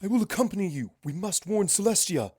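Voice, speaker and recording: Regal storybook mare princess, played by a man: high, formal, resolute, given to dramatic delivery. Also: very animated, a little fast, a little mellow, slightly rough, dry recording, clean studio sound, full character voice. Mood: alarmed, resolute. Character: regal female pony princess of the night, startled, resolute.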